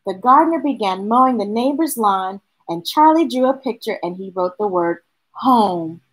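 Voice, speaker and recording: A middle-aged woman reading a picture book aloud to children, expressive, with chatty asides to her young listeners. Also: medium pitch, low-quality recording. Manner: expressive, drawn-out delivery addressing young listeners. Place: small indoor room with bookshelves.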